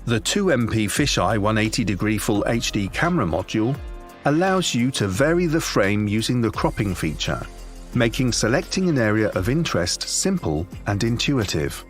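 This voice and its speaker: A man reading scripted corporate product narration in a measured, formal presentational manner. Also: fairly low pitch, big pitch swings, soft background music. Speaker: promotional video narrator.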